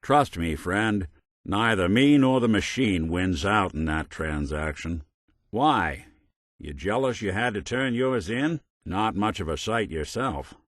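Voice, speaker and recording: A man's voice: fairly low pitch, lively intonation, a little slow, no room sound. A man voicing a world-weary robot detective in a video game, with a dry, sardonic, folksy noir delivery. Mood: wry, sardonic.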